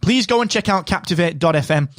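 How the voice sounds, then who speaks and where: A man hosting his own podcast, with a voice pitched a little high and made slightly nasal by a cold. He talks straight and keen, pushing his points with emphatic repeats. The recording is dry and close on the mic. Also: fast talker, no room sound. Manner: energetic, direct and encouraging, with emphatic repeats. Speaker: podcast host. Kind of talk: solo podcast advice talk.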